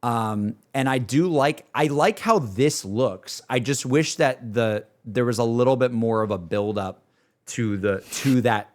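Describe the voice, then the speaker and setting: A man's voice: mid-range voice, unhurried. A man giving casual, slangy reaction commentary as a streamer, hedging his opinions as he goes. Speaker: streamer reacting to game cutscenes. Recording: music playing behind.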